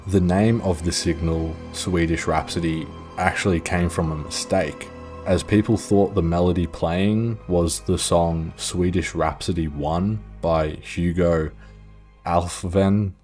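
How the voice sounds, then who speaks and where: A young man with a very low voice narrates casually over music. He is informal and self-deprecating, happy to drop a swear word or an aside into his documentary delivery. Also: fairly even intonation, slow, a little mellow. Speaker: video narrator. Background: background music.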